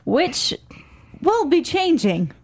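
A woman's voice: sits high, big pitch swings, slow pace, a little mellow. A woman chatting casually as a co-host on a talk show. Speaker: podcast co-host. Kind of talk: casual chat.

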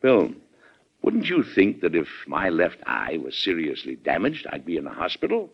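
Blunt american president, deepish voice, very lively delivery, old radio drama. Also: male voice, moderate pace, slightly rough, full character voice. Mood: insistent, fatherly. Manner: blunt, assertive. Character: firm, plain-spoken American male president, shrewd and commanding. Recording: dull, band-limited vintage radio recording.

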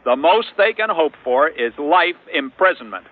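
Man acting an animated, grave broadcaster, tinny and echoey. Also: on the high side, normal speed, natural voice. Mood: grave appeal. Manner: grave, formal, emphatic. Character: earnest, authoritative male broadcaster pleading with criminals. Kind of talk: old-time radio drama acting, broadcast appeal. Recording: echoey, tinny old radio sound.

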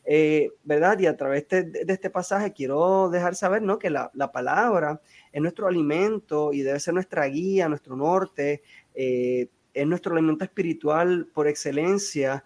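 Hesitant podcast host, slightly high voice. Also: male voice, moderate pace, a little mellow. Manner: hesitant, frequent fillers and "¿verdad?". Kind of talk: casual interview.

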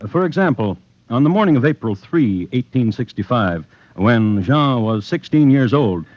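A man narrating true crime as a radio host in a deliberate, dry, wry storytelling style from mid-century radio. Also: mid-range voice, animated, no room sound. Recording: muffled vintage radio, music behind.

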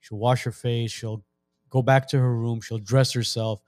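A man's voice: medium pitch, level tone, normal speed, darkish tone, no echo. Male co-host, thirties; easygoing and rambling, often restarts sentences; mid-range voice, dry recording. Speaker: podcast co-host. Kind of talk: casual podcast chat.

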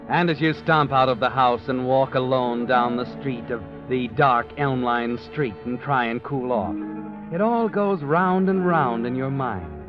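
An actor playing an ominous narrator who taunts the listener in second person, in a 1940s radio drama. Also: male voice, medium pitch, close to an everyday voice. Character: ominous all-knowing male narrator, mocking. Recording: dull old radio recording.

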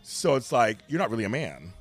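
An older man from Los Angeles with a deep voice, a guest talking candidly and emphatically, often repeating himself. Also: big pitch swings, a little fast, slightly rough, soft background music. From Los Angeles, USA. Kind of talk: candid conversation.

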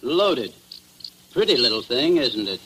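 A man's voice: medium pitch, big pitch swings, unhurried, bright, forceful tone. A man with an American accent playing a matter-of-fact police officer, wry and slangy, in 1940s radio drama style. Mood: brisk, dry. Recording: noisy old 1940s radio recording.

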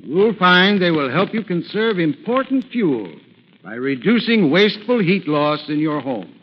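A man's voice: sits high, big pitch swings, a little slow. A man giving scripted advice as an expert in a 1940s radio advertisement, in a formal, instructive manner. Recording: muffled, hissy old radio broadcast.

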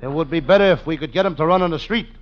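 Guy acting a deadpan wisecracking private eye, old radio comedy, slightly high voice, super noisy muffled tape. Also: very fast, natural voice. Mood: deadpan, wry. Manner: deadpan delivery of puns and one-liners. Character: wisecracking, world-weary male private detective.

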